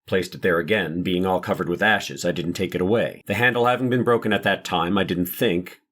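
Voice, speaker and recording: A man playing a measured, guarded police marshal giving formal, deliberate testimony in a courtroom drama. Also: low voice, fairly bright tone. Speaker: actor playing a police witness. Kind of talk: dramatised court transcript reading.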